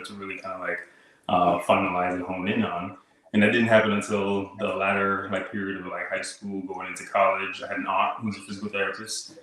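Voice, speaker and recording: Low-voiced man, 30s, from Haiti, laid-back guest talk, very echoey room. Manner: relaxed storytelling, frequent "like" and "kinda" fillers. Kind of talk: casual interview chat.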